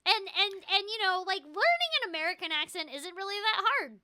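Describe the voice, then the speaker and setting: A woman's voice: very high-pitched, expressive, a little fast, pushed and bright, no echo, clean studio sound. A woman voice actor hosting a casual stream, chatting loosely with frequent restarts and a noticeable glottal stop, on a headset mic at home.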